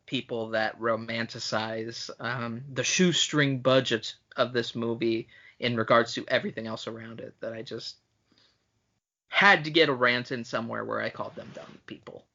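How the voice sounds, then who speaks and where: A man's voice: mid-range voice, normal speed, pushed and bright, lo-fi sound. A man co-hosting a casual fan podcast, opinionated and sweary, sometimes ranting in mock-grand phrases. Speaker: podcast co-host.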